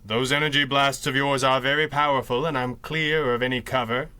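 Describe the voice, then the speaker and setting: An actor playing a calm, principled masked hero in a 1930s-style radio drama, with measured and formal diction. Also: male voice, medium pitch, clean tone, natural voice. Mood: calm, persuasive. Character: calm, principled masked crime-fighting hero, adult man.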